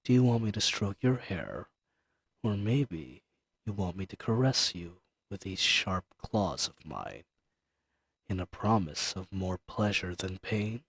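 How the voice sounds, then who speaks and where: A man's voice: low-pitched, expressive, unhurried, fairly bright tone, breathy edge, dry recording, full character voice. A man voicing an ancient dragon familiar in an intimate audio roleplay, speaking formally, possessive and seductive, condescending yet soothing. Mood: teasing, seductive. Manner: formal, archaic diction, soothing and teasing. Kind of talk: intimate scripted roleplay audio addressed to the listener.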